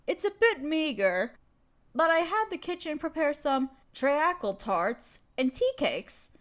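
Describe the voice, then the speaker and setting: A woman's voice: sits high, big pitch swings, unhurried. A woman playing a spoiled, haughty evil queen in a scripted audio roleplay, complaining pompously and theatrically to her servant. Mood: petulant, self-pitying. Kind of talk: scripted roleplay monologue to the listener.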